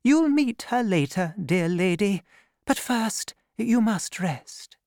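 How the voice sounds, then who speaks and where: A woman reading aloud and voicing a kindly, motherly older nun who speaks slowly and gently. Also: medium pitch, expressive, dry recording, clean studio sound, pitched above the performer's own voice.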